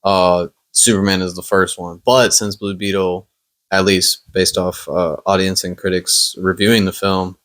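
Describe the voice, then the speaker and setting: A man's voice: low voice, level tone, unhurried, a bit bright. A man chatting casually about superhero movies, rambling with lots of fillers. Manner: rambling, hesitant with many fillers. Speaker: podcast host.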